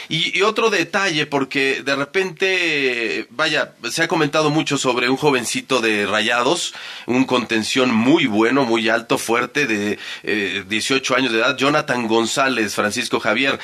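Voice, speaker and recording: Bright, forceful northern Mexican male sports host. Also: medium pitch. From Monterrey, Mexico. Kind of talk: conversational sports commentary.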